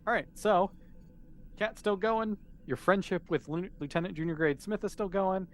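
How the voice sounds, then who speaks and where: A man's voice: sits high, normal speed, a little hiss or hum. A man casually narrating a tabletop game as game master, explaining in an easygoing, conversational way.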